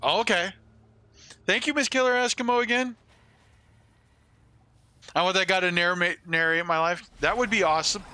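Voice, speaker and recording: Clear, bright-voiced man in his forties streaming. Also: high-pitched, moderate pace, long pauses. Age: 40s. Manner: rambling, salty swearing. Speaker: game streamer. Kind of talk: casual live-stream chat with gameplay commentary.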